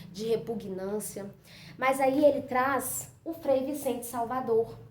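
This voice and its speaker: A woman's voice: on the high side, a little mellow. Lady walking thru a book chapter, earnest and chatty, echoey room, bit of background noise. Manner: earnest, explanatory, frequent "né" and "então". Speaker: reader presenting a book chapter. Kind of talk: informal spoken book commentary.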